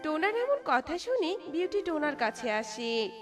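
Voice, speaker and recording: A woman's voice: sits high, very animated, a little slow, music in the background. A woman narrating a cartoon story in a scripted storytelling style.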